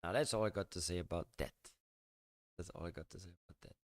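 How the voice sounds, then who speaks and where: An older man from Denmark, rambling and halting through a casual live-stream chat with his viewers. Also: deep voice, no echo, studio quality. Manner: halting and rambling, many fillers and restarts.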